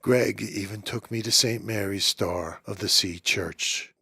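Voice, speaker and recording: A man's voice: lowish voice, unhurried, vocal fry, bright, forceful tone, a little hiss or hum. A man host narrating a home-video travel story in a chatty, homespun voice-over. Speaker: show host.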